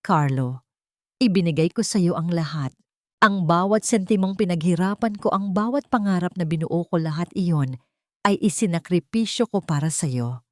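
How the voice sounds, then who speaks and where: Narrator lady as a betrayed young province girl, reproachful, story reading, low and dry. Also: moderate pace, darkish tone, no room sound, lightly acted. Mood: hurt, reproachful. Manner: aggrieved, reproachful. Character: betrayed, self-sacrificing young provincial woman.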